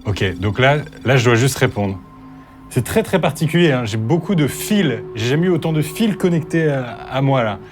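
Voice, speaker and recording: A man's voice: medium pitch, animated, normal speed, faint music behind. A man hosting his video channel, answering questions in a candid, hesitant way and sounding a bit nervous. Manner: hesitant, candid, many fillers and restarts. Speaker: youtuber host. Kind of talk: casual talk, answering interview questions.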